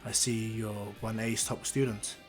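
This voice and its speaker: A man voicing a guarded, moody teenage boy who speaks low and wary. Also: level tone, unhurried, over music, close to an everyday voice.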